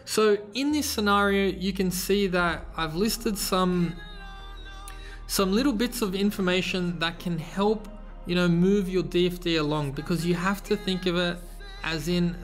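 A man hosting a screen-recorded tutorial, explaining step by step in a relaxed way with casual fillers. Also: high-pitched. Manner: relaxed, explanatory, frequent fillers like "you know". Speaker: tutorial host. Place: voice-over over slides.

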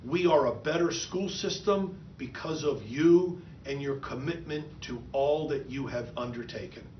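A middle-aged man speaks in a measured, earnest way, addressing graduates with steady encouragement. His voice sits at an ordinary pitch and sounds a little muffled. It carries the echo of a room, with the mic set back from him. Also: a little hiss or hum. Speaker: school superintendent. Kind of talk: prepared commencement address. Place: office with bookshelves, indoors. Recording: echoey, dull room sound from a distant mic.

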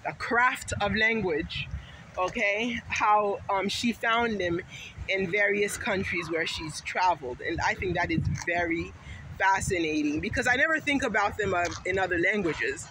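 A woman's voice: mid-range voice, unhurried. A middle-aged woman from Haiti, vlogging casually in a chatty, rambling, emphatic way while walking through a park. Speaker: vlogger and teacher. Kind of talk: casual talk to camera. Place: sunny city park outdoors. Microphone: phone held at arm's length. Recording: noisy outdoor phone recording.

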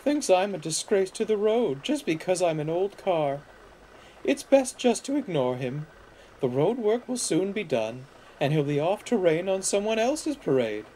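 A man's voice: high voice, very animated, unhurried, mellow, a little hiss or hum, pitched above the performer's own voice, rough recording. A man voicing a cheeky, kindly old female motor car with a chatty manner in a children's story. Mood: resigned.

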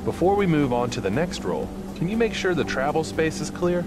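Male narrator for a training module: clear, instructive scripted read with lively pitch movement, sitting over loud music. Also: fairly quick. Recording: music behind the voice. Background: prominent background music.

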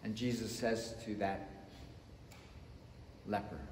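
A man in his fifties preaching a sermon from the front of a church sanctuary, slow and emphatic, swinging between loud and soft. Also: fairly low pitch, fairly even intonation, a little hiss or hum. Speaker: pastor. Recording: echoey church with long reverb.

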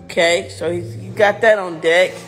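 A man's voice: sits high, steady tone, normal speed, a bit bright. A middle-aged man hosting a casual phone livestream in a big home kitchen, exclaiming and narrating the food he sees. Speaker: livestream host. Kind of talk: casual live-stream chat. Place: large home kitchen, indoors. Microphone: phone held in hand. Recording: music playing in the room.

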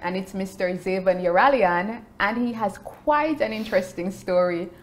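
A woman's voice: medium pitch, moderate pace. A young adult woman hosting an interview show, speaking in an upbeat, emphatic way. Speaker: host. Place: indoor room with shelves.

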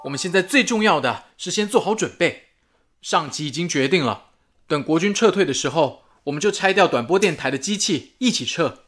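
A man's voice: on the high side, lively intonation, brisk, fairly bright tone, soft background music. An actor playing a dutiful, businesslike man at a wartime radio station, in radio drama dialogue.

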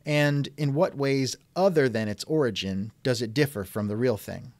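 A man's voice: mid-range voice, moderate pace, a little mellow, no echo. A man hosting a scripted podcast interview with a dry, sardonic manner, close on the microphone.

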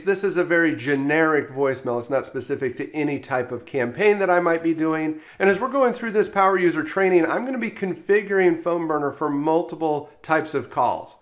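A man working as a software trainer, narrating an instructional screencast in an explanatory, step-by-step way. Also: on the high side.

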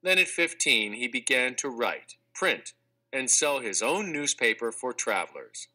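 Guy narrating a kids textbook, super bright crisp voice, lots of up and down, measured and expressive. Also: medium pitch. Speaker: audiobook narrator. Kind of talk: scripted read-aloud of a children's textbook.

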